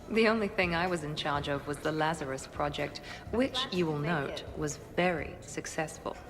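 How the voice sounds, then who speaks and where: A woman's voice: sits low, moderate pace. A woman playing a poised, self-assured operative in video game dialogue. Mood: dryly proud.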